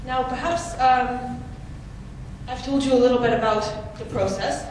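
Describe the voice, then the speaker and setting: A woman from the Netherlands giving a conference presentation, explaining clearly with occasional fillers. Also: a little high, steady tone, unhurried, a little mellow. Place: large conference hall. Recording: echoey hall, mic from far off, noisy.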